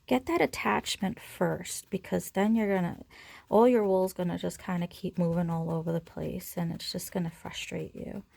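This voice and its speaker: A woman's voice: fairly low pitch, fairly even intonation, normal speed, vocal fry, a little mellow, no echo. A woman giving a casual step-by-step craft demonstration, informally talking through each action as she works. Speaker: craft tutorial instructor.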